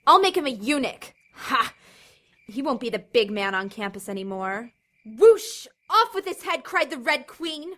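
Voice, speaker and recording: Teenage girl, fairly high voice, bullying, hot-tempered, demanding, audio drama. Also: sing-song, unhurried, fairly bright tone, full character voice. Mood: vengeful. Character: angry, hurt, domineering teenage Italian-American girl.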